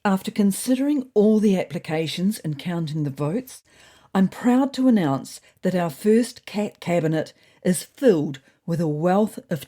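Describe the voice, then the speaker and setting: A woman's voice: lowish voice, dark tone. A woman plays a self-important female cat leader in a comedy fiction podcast. She orates in grand, formal phrases, her voice lifted and projected like a politician on a podium. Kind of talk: political speech in a comedy story.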